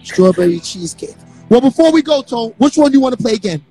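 A man's voice: very high voice, expressive, fast, warm tone, soft background music, poor audio. A middle-aged man, hosting a casual live-stream interview with joking banter, on a studio mic in a small home studio. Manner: joking, laid-back banter. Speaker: host. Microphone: handheld studio mic on a stand.

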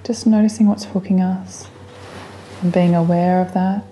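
Very mellow, dark-voiced woman guiding meditation. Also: lowish voice, steady tone, slight background noise, low-quality recording. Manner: gentle, soothing, unhurried instruction. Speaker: meditation guide. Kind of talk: guided meditation. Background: relaxing music.

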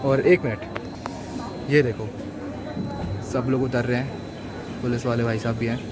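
A man filming a travel vlog on a moving train, excitedly pointing out the views to his viewers. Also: mid-range voice, normal speed, over loud music. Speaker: travel vlogger. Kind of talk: casual travel vlog commentary. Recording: loud train and outdoor noise.

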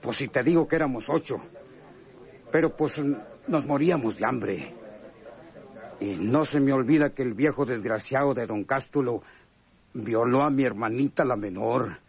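An actor playing a chummy but bitter rural Mexican man from a poor family in a radio drama, talking in a halting, folksy way. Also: medium pitch, a little mellow, close to an everyday voice. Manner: colloquial, halting, folksy storytelling. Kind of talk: radionovela acting.

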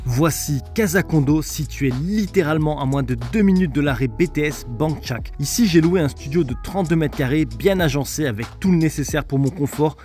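A man's voice: a little high, darkish tone. A man in his thirties vlogging his travels, chatting playfully to viewers from the street and cafés with the camera at arm's length. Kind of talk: travel vlog narration.

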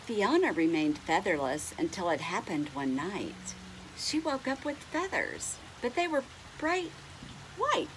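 A middle-aged woman reads a picture book to little ones at an unhurried pace. Her voice swoops up and down as she stretches words and puts questions to the children. There is clear noise behind her in a home recording. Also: mid-range voice, sing-song, low-quality recording. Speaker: storyteller reading to children. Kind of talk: expressive picture-book read-aloud with asides to young listeners. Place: indoors at home on a couch.

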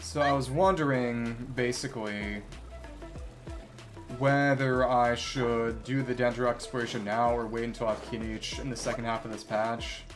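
A man's voice: mid-range voice, steady tone, normal speed. A man giving casual live commentary as a video game streamer, rambling and thinking aloud as he plays. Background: video game music.

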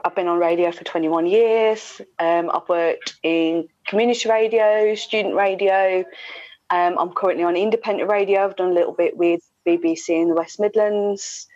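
A woman's voice: on the low side, steady tone, normal speed, darkish tone, no echo. A middle-aged woman, a radio presenter, chatting casually as a guest on a video call. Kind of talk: casual interview chat. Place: indoors at home. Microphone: earphones on a video call. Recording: slightly dull video-call audio.

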